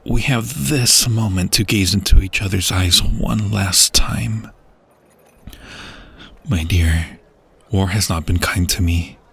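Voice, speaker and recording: A man playing a dying, war-weary king and loving husband in a fantasy audio roleplay, husky, tender and broken, with archaic phrasing. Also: low voice, slow pace, pauses often, fairly bright tone, exaggerated voice. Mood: broken, sorrowful. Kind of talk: fantasy ASMR roleplay acting.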